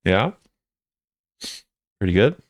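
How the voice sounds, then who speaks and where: Low-voiced man, dry close sound, casual. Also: very animated, slow, no echo, lo-fi sound. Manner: offhand, conversational, hesitant musing. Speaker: video creator ranking game voice lines. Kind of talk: casual commentary.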